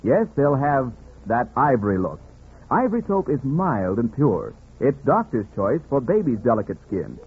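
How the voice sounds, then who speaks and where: A man's voice: mid-range voice, big pitch swings, normal speed, slightly rough. A man announcing on 1940s radio, reading commercials with a polished, emphatic sales delivery. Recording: muffled, phone-like 1940s radio recording.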